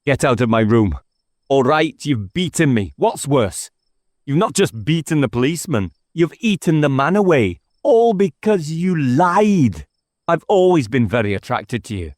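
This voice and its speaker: A man's voice: a little high, big pitch swings, measured pace, no echo, studio quality, voice pushed up. A man voicing a weary, bitter policeman in an audiobook, sardonic and full of self-pity. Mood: bitter, anguished. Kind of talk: dramatised audiobook dialogue.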